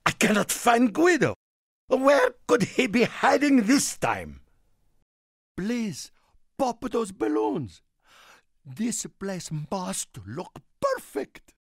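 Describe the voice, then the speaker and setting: A man voicing an excitable, warm-hearted Italian car in a video game, with an Italian accent and a theatrical manner. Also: high voice, slow, husky, dry recording, clean studio sound, full character voice. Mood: fretful, pleading. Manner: theatrical, refers to himself in third person. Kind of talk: animated video game character lines.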